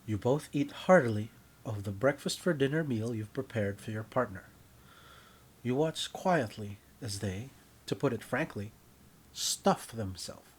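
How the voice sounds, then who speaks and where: A man narrating a scripted story in the second person, deliberate and often dropping softer at the ends of phrases. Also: mid-range voice, expressive, husky. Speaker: writer and narrator.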